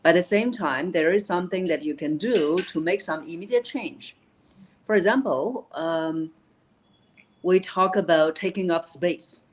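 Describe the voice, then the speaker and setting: A woman's voice: fairly low pitch, unhurried, slight background noise. A woman voice coach in a live interview, explaining vocal technique in an instructive way. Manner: instructive, demonstrates voices while teaching. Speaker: voice coach and keynote speaker.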